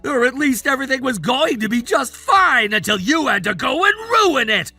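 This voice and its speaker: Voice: high voice, very animated, slow, gravelly, bright, forceful tone, no echo, faint music behind, heavily acted. A performer voicing a spoiled, entitled young adult son in a melodramatic story, whiny and indignant. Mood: furious, defensive. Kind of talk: melodramatic narrated story dialogue.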